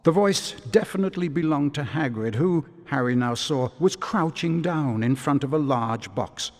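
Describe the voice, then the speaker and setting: A man narrating a video game story like a storybook, in a dramatic, deliberate manner. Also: on the high side, animated, long reverb tail.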